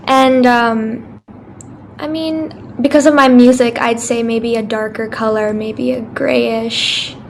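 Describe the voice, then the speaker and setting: Casting: female teen guest, 14, higher-pitched, casual and hesitant interview chat with light noise behind. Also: steady tone, slow, creaky. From Dubai, Texas, India and Congo. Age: 14, teenager. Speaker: interview guest, young singer-songwriter.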